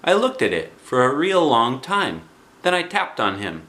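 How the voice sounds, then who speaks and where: A man in his forties reads a children's book aloud. His pitch is lively and varied, sitting in a middle register. He uses dramatic pauses and strong emphasis. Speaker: storybook reader. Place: small living room indoors.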